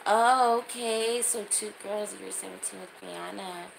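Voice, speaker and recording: Slow-talking, gravelly woman chatting on livestream. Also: mid-range voice, noisy background. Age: 30s. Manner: chatty, informal. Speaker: livestream host. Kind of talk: casual livestream chat, reading and answering comments. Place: small indoor room. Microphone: phone at arm's length.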